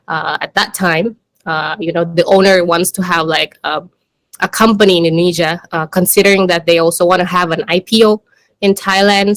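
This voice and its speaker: A woman's voice: fairly low pitch, a bit bright, no room sound. A woman giving an informal business presentation in a hesitant, conversational way, leaning often on "you know" and "like". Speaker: startup country manager presenting.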